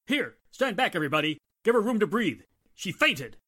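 An actor playing a gruff, heroic police inspector, commanding and urgent in 1940s radio drama style. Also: male voice, high voice, big pitch swings, normal speed, fairly bright tone, heavily acted. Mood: protective.

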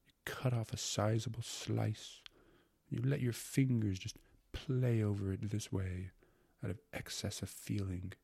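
A man voicing a gluttonous, syrupy court secretary in a storybook reading, breathy and savoring every word as he wheedles and repeats himself. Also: fairly low pitch, fairly even intonation, unhurried, warm tone, no room sound, voice pushed up, heavily acted. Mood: hushed, sensual rapture. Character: gluttonous, syrupy male court secretary rhapsodizing about food.

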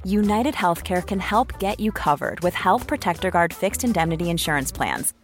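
A woman's voice: on the low side, expressive, normal speed, soft background music. A woman voicing a scripted commercial in an upbeat, friendly way. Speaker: ad voice-over.

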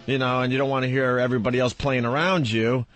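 A man's voice: medium pitch, faint music behind. Laid-back male radio host. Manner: relaxed, laughing, quick asides. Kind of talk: casual radio banter.

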